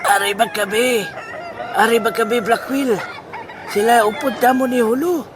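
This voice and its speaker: An actor voicing a fond, emotional parent chicken who clucks between drawn-out phrases in a comic radio drama. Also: medium pitch, fairly even intonation, lightly acted. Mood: excited. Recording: very noisy, rooster and flock ambience.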